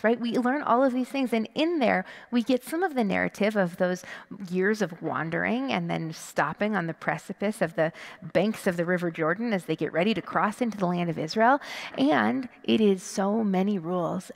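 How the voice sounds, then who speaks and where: Creaky-voiced woman preaching in a reverberant hall. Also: mid-range voice. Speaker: rabbi. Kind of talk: sermon. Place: large indoor sanctuary. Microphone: headset microphone. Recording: long reverberant hall echo.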